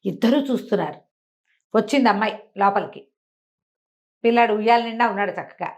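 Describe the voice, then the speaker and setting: A woman's voice: medium pitch, brisk. A woman telling a folk tale aloud in a conversational, oral storytelling style.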